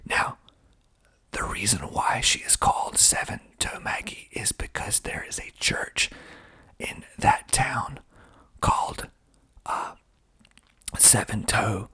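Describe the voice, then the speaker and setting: A man from the southern United States whispering in a breathy, deliberate, conversational way as an ASMR channel host. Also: fairly low pitch, bright, forceful tone. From southern United States (Georgia). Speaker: filmmaker hosting his own channel.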